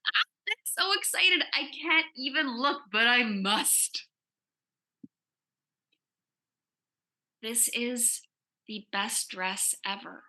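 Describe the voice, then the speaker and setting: Woman playing bright, gushing teenage girl Lupe. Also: a little high, slow pace, full character voice. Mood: excited, gushing. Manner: gushing, chatty teenage exaggeration. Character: Lupe, excitable nerdy teenage girl, self-deprecating and dramatic. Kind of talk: dramatic comic reading, first-person teen narration.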